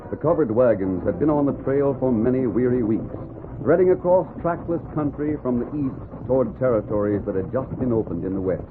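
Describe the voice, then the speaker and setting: A man's voice: medium pitch, animated, a little fast, noisy background. An American man narrating a 1940s radio drama as announcer in a grand, theatrical manner. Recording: tinny 1940s radio recording. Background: music.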